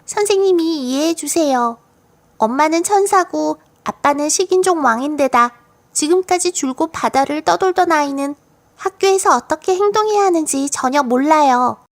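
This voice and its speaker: A woman's voice: high-pitched, expressive, moderate pace. A woman voicing a cheeky, confident little girl full of fanciful tales in a children's storybook audiobook. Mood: innocent, apologetic. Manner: matter-of-fact, playful tall-tale telling.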